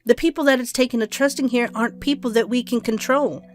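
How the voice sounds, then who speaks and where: A woman's voice: fairly high pitch, quick pace, soft background music, natural voice. An actor playing a cold, impatient woman doctor in an audio drama, curt, clinical and scheming. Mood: cold, calculating.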